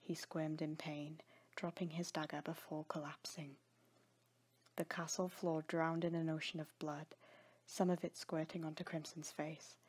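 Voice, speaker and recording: A woman narrates scripted dramatic fiction. Her voice sits fairly low and is breathy and husky, in a storytelling style. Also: flat delivery, a little slow, a little mellow.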